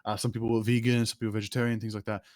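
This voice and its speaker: Animated young man, dry close sound. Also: on the low side, racing, no echo. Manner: animated, laughs often, says bro a lot. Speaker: streamer guest. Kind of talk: casual chat.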